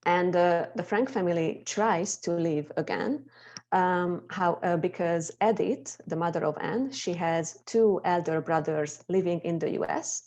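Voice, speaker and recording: Low-voiced Hungarian-accented woman guide. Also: measured pace, darkish tone. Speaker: museum educator and tour guide. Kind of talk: informal educational presentation. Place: online video call.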